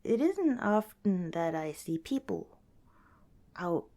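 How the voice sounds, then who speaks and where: A woman playing a kind, cautious young scavenger in an ASMR roleplay, speaking softly and hesitantly, as if chatting to the listener. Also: on the low side, sing-song, slow. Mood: candid, relaxed. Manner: soft, hesitant, conversational, with sighs and small laughs.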